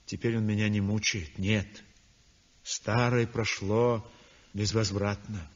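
An actor playing a weak, convalescent young male painter who narrates his story in a 1960s radio drama, speaking softly and breathily. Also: on the low side, level tone, slow pace. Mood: reflective. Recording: dull old radio recording with long reverb.

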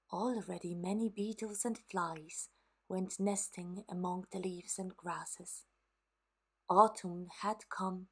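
A woman narrating a children's story for a puppet show in a measured, deliberate way. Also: mid-range voice, a bit bright, husky.

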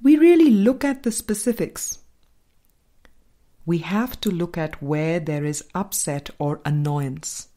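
Woman, audiobook narrator, slightly low pitch, slow measured scripted reading. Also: warm tone.

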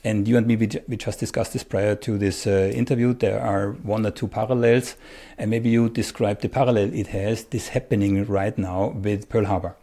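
A man's voice: fairly low pitch, fairly even intonation, moderate pace, mellow. A middle-aged man whose first language is German, interviewing casually over a video call and tagging his remarks with "yeah?". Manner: chatty, tags lines with "yeah?". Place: home study with bookshelves.

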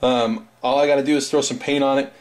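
A man in his thirties with a raspy voice, hosting a casual tabletop terrain review for his viewers, loose and chatty. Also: mid-range voice, fairly even intonation, quick pace, darkish tone. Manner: loose and chatty, full of fillers and asides. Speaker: tabletop hobby channel host.

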